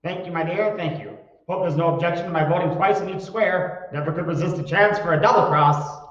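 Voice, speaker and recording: A man's voice: high voice, fairly even intonation, fairly quick, fairly bright tone, slight background noise. Man playing a flowery, shady con man, echoey. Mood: sly. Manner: flowery, rambling, muttering to himself. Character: shady, flowery-talking old-time con man, jovially muttering. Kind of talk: old-time radio comedy acting. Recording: echoey hall, distant mic, dull sound.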